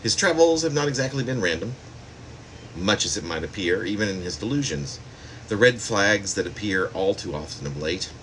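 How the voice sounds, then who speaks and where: Very low-voiced man reading poetry, background hum. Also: expressive, moderate pace, a bit bright, poor audio. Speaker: poet. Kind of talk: poetry reading of own work.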